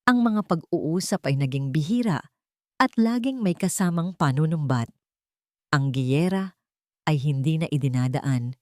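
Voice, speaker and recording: Low-pitched woman narrating a dramatic story. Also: darkish tone, no echo, clean studio sound. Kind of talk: scripted dramatic narration of a Tagalog love story.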